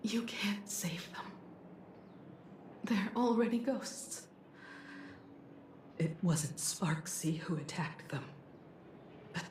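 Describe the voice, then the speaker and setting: A woman voicing a composed, world-weary investigator in a video game, speaking in a measured, grave, explanatory way. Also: low-pitched, reverberant. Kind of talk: scripted video game voice acting.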